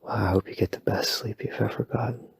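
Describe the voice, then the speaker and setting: Breathy whispering man, gravelly. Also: on the low side, steady tone, brisk, no echo. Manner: soft, rambling, self-deprecating, playful. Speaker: ASMR boyfriend roleplay creator. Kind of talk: whispered sleep-aid rambling. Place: home at night.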